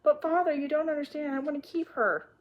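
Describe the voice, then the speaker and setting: A woman playing young storybook girl, high voice, earnest, stubborn, pleading. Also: fairly quick, muffled recording, natural voice. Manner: eager, pleading.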